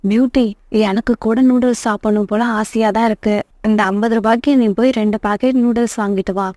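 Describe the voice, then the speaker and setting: A woman's voice: on the high side, steady tone, fairly quick, a little mellow, no room sound, clean studio sound, lightly acted. A woman voicing a caring, admonishing mother sparrow in a children's cartoon. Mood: agreeable.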